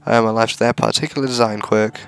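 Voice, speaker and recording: A man's voice: lowish voice, steady tone, fast, vocal fry, fairly bright tone, faint music behind. A man reading a visual novel aloud for a let's play, with casual asides.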